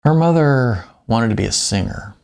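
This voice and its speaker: A man voicing a likable retired salesman and father in an audiobook, with a folksy, halting, conversational manner. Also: mid-range voice, animated, gravelly, a little mellow. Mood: wistful, musing.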